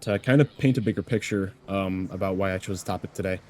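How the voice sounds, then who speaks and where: A young man in his mid-twenties speaks to camera with a low, deep voice that sounds a little congested from a cold. He rambles earnestly and reflectively, leaning on frequent "you know" fillers. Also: fast, a little mellow. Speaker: YouTuber. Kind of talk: reflective personal vlog talk.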